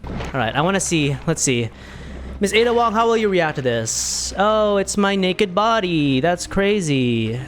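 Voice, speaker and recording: Animated woman gamer, playful and excitable. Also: on the low side, measured pace, a little hiss or hum. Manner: playful, excitable, profane, given to silly repetition. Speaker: streamer reacting while playing a video game. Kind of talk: casual playthrough commentary.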